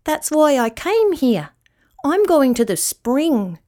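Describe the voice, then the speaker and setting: Storybook sea rat, played by a woman: high, animated, well-mannered, forever apologising, earnest and wide-eyed. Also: slow, exaggerated voice. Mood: eager, earnest. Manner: earnest, apologetic, easily puzzled. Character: polite, apologetic, wondering young sea rat. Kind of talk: children's storybook character voice.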